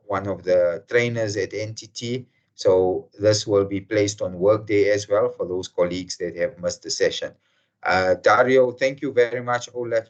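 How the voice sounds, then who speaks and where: A man from South Africa hosting an online workshop session, speaking slowly with many fillers over a video call. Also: lowish voice. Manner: slow and hesitant, many fillers. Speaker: webinar host. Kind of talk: formal welcome to an online session. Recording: muffled video call audio.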